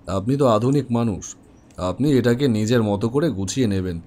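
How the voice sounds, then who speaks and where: An actor voicing an elderly, resigned widower in a horror cartoon, gently reflective. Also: male voice, mid-range voice, normal speed, lightly acted. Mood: wistful. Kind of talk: Bengali horror cartoon dialogue.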